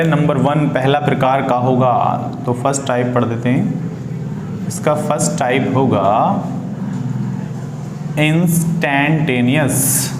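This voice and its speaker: A man with an Indian accent teaching informally at a board as a science teacher, repeating key phrases and quizzing his listeners with questions. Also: on the high side. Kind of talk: informal board lecture for students. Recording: echoey room with faint background noise.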